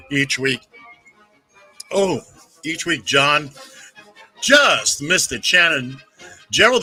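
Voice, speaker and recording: A bright, forceful man hosting a radio show, playful and teasing in his banter. He slips into a theatrical announcer delivery for a weekly segment. His voice is dry and close, with no room sound. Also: mid-range voice, dry recording.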